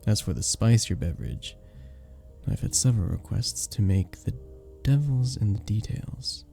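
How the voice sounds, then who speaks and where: A man playing a charming, flirtatious vampire bartender in an ASMR roleplay, speaking to the listener with teasing, unhurried pauses. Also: lowish voice, animated, vocal fry, mellow, soft background music. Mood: suave, playful.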